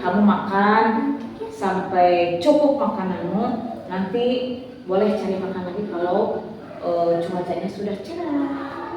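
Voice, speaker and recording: A woman telling a story to young children, animated and asking them questions. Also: medium pitch, measured pace, background noise. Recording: echoey room from far off.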